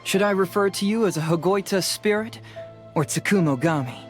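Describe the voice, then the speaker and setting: An actor playing a composed young detective in a video game, explaining things in a measured, articulate, confident way. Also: male voice, high voice, soft background music, lightly acted. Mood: calm, firm.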